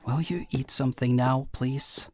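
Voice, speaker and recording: A man acts out a caring young teammate in a modern fantasy audio drama. His voice sits at a medium pitch. He is wry and teasing, with clear concern for his friends. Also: fairly even intonation, measured pace, noisy background, lightly acted. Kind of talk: audio roleplay drama acting.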